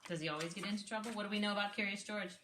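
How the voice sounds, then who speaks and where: Bright-voiced woman reading aloud, echoey room. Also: mid-range voice, racing, a little hiss or hum. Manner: instructive, prompting with questions, expressive reading. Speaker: speech therapist reading aloud to a child. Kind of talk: read-aloud storytelling with teaching asides.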